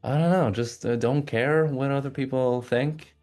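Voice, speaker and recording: A man's voice: mid-range voice, steady tone, moderate pace, a little mellow, soft background music. A man from Oslo who grew up bilingual in English and Norwegian, chatting informally as an interview guest and often repeating words as he thinks. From Oslo, Norway. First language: bilingual English and Norwegian from childhood. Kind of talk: casual conversational chat.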